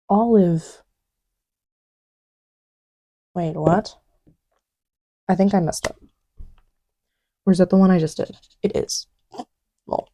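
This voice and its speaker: A woman acts out a playful young girlfriend in an intimate audio roleplay. Her voice is very dark and mellow, with a breathy softness. She murmurs haltingly and unhurriedly, teasing while half absorbed in what she is doing, and sounds close and dry. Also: mid-range voice, dry recording. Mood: absorbed, casual.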